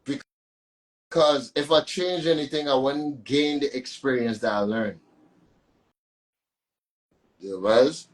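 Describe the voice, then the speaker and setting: A man's voice: mid-range voice, takes it slowly, pauses often, poor audio. A man with a Vincentian accent, a soca artist chatting in an interview and repeating words for emphasis. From Saint Vincent. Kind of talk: casual interview chat.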